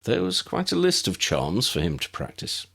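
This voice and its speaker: A man reading scripted storybook narration in an expressive, measured storytelling manner. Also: mid-range voice, vocal fry, breathy edge. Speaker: audiobook narrator.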